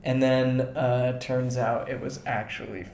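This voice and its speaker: A man's voice: mid-range voice, flat delivery, measured pace, darkish tone. A man co-hosting a casual chat, retelling stories irreverently with mock quotes and casual swearing. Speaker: co-host. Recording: roomy echo, faint background noise.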